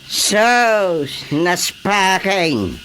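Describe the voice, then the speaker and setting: A man's voice: sits high, very animated, very slow, sharp tone, slight background noise, exaggerated voice. A man playing a blunt, exasperated older uncle who grumbles and exclaims in a radio drama.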